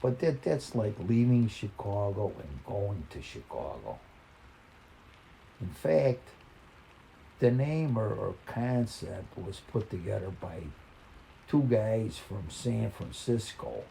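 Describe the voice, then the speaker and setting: A man reading a folksy first-person story in a halting, conversational way. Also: fairly low pitch, sing-song, creaky, dark tone, a little hiss or hum, rough recording.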